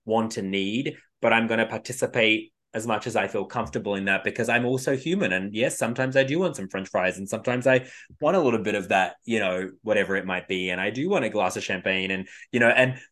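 A man's voice: lowish voice, quick pace, a bit bright. A man co-hosting a podcast, talking in a reflective, meandering way and often trailing off mid-thought to restart. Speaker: podcast co-host. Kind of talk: casual spiritual chat.

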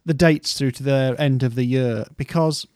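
A man's voice: medium pitch, fairly even intonation, normal speed, a little mellow, no echo. A man co-hosting a casual music history podcast, rambling through stories in a playful way.

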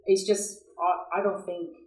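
A woman's voice: fairly low pitch, level tone, unhurried. A woman from Brazil with a Brazilian accent, answering interview questions conversationally, often repeating words as she searches for phrasing. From São Paulo, Brazil. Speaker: podcast guest, agribusiness professional. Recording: roomy, echoey sound.

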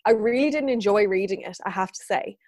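A woman in her thirties, a business owner being interviewed, talks in a chatty, earnest way and laughs easily. Her voice sits at a middle pitch for a woman. The sound is dry and close. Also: a little fast, a little mellow, dry recording. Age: 30s. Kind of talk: interview answers.

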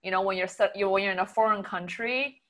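A woman from Singapore chatting casually in an agreeable, measured way. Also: medium pitch, a bit bright. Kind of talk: casual chat.

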